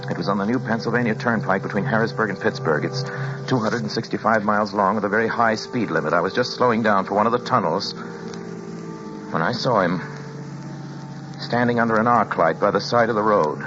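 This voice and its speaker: A rattled driver, played by a man, narrates in a lowish, measured voice that breaks into nervous stammers. The recording is dull and lo-fi, with music running underneath. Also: lightly acted. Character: uneasy male driver recounting a trip. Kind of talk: 1940s radio drama narration.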